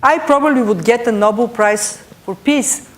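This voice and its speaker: Middle-aged Bulgarian-accented woman speaking deliberately. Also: a little high. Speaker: panelist, European budget official. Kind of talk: panel discussion remarks.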